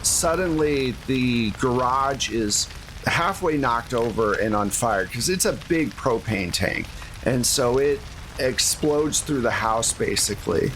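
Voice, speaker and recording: A man's voice: mid-range voice, lively intonation, slow pace, fairly bright tone, poor audio. Casual man running a tabletop game, faint noise. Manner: casual, conversational. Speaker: game master. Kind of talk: narrating a tabletop roleplaying game.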